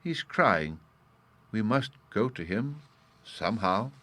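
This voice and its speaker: A man reading aloud, voicing a bright, earnest little fairy girl in a storybook. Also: on the low side, big pitch swings, slow pace, heavily acted. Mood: lively.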